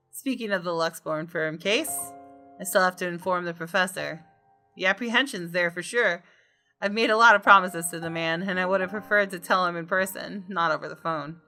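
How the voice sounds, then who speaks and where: A woman, a gaming streamer reading visual novel narration aloud in an expressive, dramatic way. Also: on the low side. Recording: music behind the voice. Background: game music.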